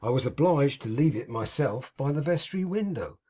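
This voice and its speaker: A man's voice: mid-range voice, expressive, moderate pace, dark tone, husky. Man putting on a pompous sanctimonious hypocrite. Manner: pompous, sanctimonious, grandly theatrical. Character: pompous hypocritical middle-aged male architect.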